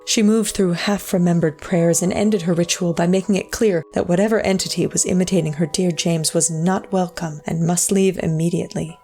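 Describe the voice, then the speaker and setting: An actress as a solemn female storyteller with a lowish, crackly voice, over faint music. She narrates a dramatic audio story in a measured, literary and somber manner. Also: steady tone, dark tone, lightly acted. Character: solemn female storyteller of a sad ghost tale.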